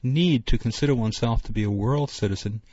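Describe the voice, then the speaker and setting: A man's voice: mid-range voice, a little fast, warm tone, husky, no echo. A man hosting a discussion panel, speaking in an earnest, reflective way. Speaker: host. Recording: dull lo-fi broadcast recording.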